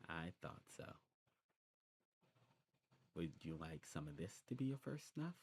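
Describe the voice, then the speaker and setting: A man playing a courteous old demon shopkeeper in an ASMR roleplay, talking to the listener in a genteel way and musing with hums and pauses. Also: deep voice, very animated, darkish tone, a little hiss or hum, full character voice. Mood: courteous, attentive.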